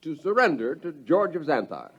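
An actor playing an earnest, brooding professor in a vintage radio drama, articulate, grave and theatrical. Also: male voice, a little high, big pitch swings, normal speed, fairly bright tone, slightly rough, lo-fi sound, full character voice. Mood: subdued, brooding. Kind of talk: mid-century American radio drama acting.

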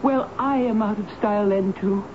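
An actress playing a dramatic, soft-hearted but indignant spinster tenant in a radio drama, speaking in a theatrical, emphatic way. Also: female voice, mid-range voice, normal speed, muffled recording, lightly acted. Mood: distressed. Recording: thin, noisy vintage radio broadcast.

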